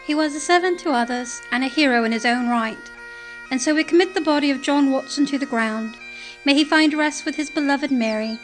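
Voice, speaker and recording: A woman's voice: sits high, brisk, soft background music, close to an everyday voice. A woman playing a solemn, ceremonial officiant in an audio drama.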